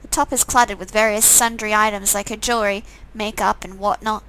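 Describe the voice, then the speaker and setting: A woman's voice: mid-range voice, steady tone, fairly quick, fairly bright tone, clear, resonant tone, rough recording. A woman reading a visual novel game aloud, with sarcastic, amused asides in a casual style. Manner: sarcastic, amused commenting between read lines.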